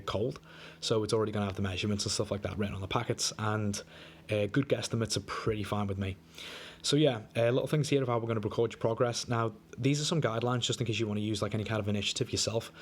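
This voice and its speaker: A young man coaching a client on a diet plan in a casual, chatty way, sounding a bit wheezy from a coming flu. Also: lowish voice. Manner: laid-back, chatty, lots of fillers. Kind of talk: casual screen-recorded walkthrough. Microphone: screen recording.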